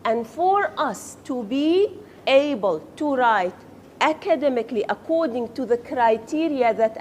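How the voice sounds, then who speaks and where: Arabic-speaking lady teaching a class, keeps asking the room questions, a bit high voice, faint hum behind. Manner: asks students questions, then praises answers.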